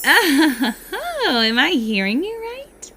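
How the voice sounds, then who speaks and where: A woman acting a playful, nerdy, excitable fairy student with a dramatic storytelling manner in an amateur voiced roleplay. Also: sits high, drawn-out words, a little hiss or hum, exaggerated voice. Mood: amazed, excitable.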